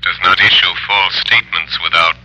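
An actor playing a mysterious, self-assured crimefighter in a 1930s radio drama, commanding and faintly mocking. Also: male voice, low voice, steady tone, moderate pace, pushed and bright, poor audio. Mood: commanding, suspicious.

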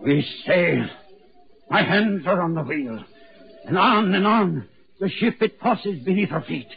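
Haunted ghostly sea captain, muffled radio. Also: male voice, high voice, animated, a little slow, gravelly, exaggerated voice. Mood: brooding, ominous. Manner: solemn, incantatory, repetitive phrasing. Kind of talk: 1940s radio horror acting. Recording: muffled, narrow-band 1940s radio recording.

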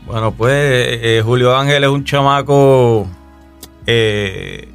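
A man with a Puerto Rican accent gives his testimony on a radio show, speaking in an easy, halting way full of fillers and restarts. Faint music plays softly beneath him. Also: medium pitch, vocal fry, clear, resonant tone. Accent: Puerto Rican Spanish. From Puerto Rico. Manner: relaxed, hesitant, many fillers and restarts. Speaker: guest musician.

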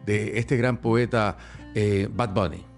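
A man's voice: on the low side, steady tone, takes it slowly, soft background music. A man chatting as a guest, joking crudely and playfully. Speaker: podcast guest. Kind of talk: casual chat.

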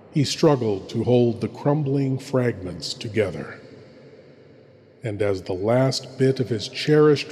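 A man hosting a horror show with scripted, ominous, deliberate theatrical delivery. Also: lowish voice, darkish tone, a little hiss or hum. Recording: long reverb, music behind. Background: music.

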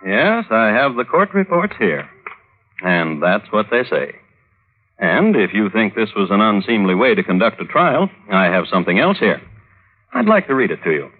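A husky man narrates an old radio drama with deliberate, mock-solemn wryness. His intonation rises and falls expressively. The recording is a little muffled, with some music behind. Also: lowish voice, sing-song. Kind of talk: wry scripted old-time radio narration.

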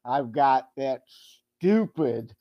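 A man co-hosting a casual podcast, joking with wry, deadpan asides. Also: mid-range voice, extremely slow, no echo, muffled recording. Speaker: podcast co-host.

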